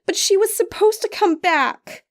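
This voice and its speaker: Lady doing a cruel witch sister, high petulant singsong, teasing and theatrical, storybook villain. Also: unhurried. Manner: taunting, sing-song, theatrical.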